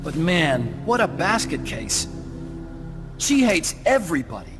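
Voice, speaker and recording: Earnest, higher-voiced scientist. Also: male voice, big pitch swings, a little slow. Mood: urgent, confiding. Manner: earnest, explanatory, slightly awkward. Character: earnest, bookish male scientist, conscience-stricken and awkward. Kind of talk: video game cutscene acting. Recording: music under the voice.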